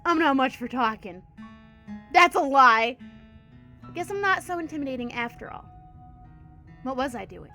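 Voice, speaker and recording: A woman with a congested voice reading the lines of a quiet, weary male drifter in a story game. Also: lively intonation, moderate pace, pauses often, faint music behind, full character voice. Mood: subdued. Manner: low, subdued reading.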